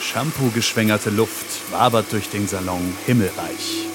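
Guy doing a solemn showman narrator for an old-timey crime radio play, lowish, music under it. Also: natural voice. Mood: solemn, mysterious. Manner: grandiose, formal, deliberate showman delivery. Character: solemn, theatrical narrator of a retro crime tale. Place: radio drama studio.

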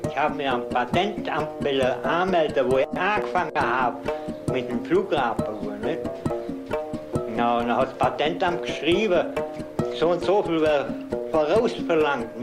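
An older man with a Swabian accent recalls things casually and haltingly, often ending phrases with a questioning tag. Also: on the high side, rough recording. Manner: halting, colloquial, ends phrases with questioning tags. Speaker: amateur inventor being interviewed. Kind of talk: casual spoken recollection. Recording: archive interview with guitar music over it. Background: prominent plucked guitar music.

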